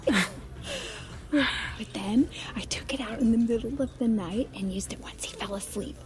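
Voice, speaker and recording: A woman's voice: on the high side, moderate pace, natural voice. An actress playing a chatty, candid wife who confides in her girlfriends, expressively, in an audio drama. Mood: confiding, exasperated. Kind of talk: naturalistic audio drama dialogue.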